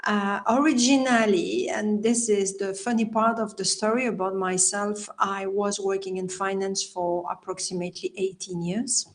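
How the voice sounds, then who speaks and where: A woman's voice: mid-range voice, fairly even intonation, moderate pace, hardly any pauses. Chatty Belgian French woman. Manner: chatty, laughs often, non-native phrasing. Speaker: podcast guest, healer and life coach. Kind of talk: casual interview conversation.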